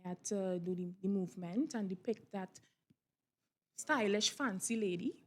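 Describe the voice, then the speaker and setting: A woman's voice: mid-range voice, normal speed, a little mellow. A woman, a stage performer, giving a casual interview answer.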